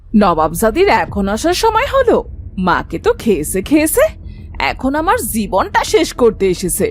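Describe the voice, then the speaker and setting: A woman's voice: on the high side, wildly swooping pitch, moderate pace, no room sound. Cruel, scolding cartoon stepmother. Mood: spiteful, taunting. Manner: harsh, taunting, bossy. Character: cruel, scolding stepmother of two orphaned sisters. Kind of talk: Bengali cartoon voice acting.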